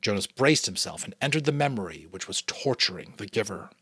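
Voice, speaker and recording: A man from New England reading a novel aloud as a father, in a dramatic, expressive narration style. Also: on the low side, fairly quick, breathy edge, dry recording. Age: adult, a father. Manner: expressive, varied delivery. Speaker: audiobook reader.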